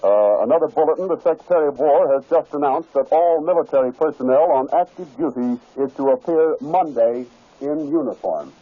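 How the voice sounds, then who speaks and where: An American man reading news bulletins as a 1940s radio announcer, in a formal, measured delivery. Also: fairly high pitch. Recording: scratchy 1940s radio broadcast, narrow tinny sound.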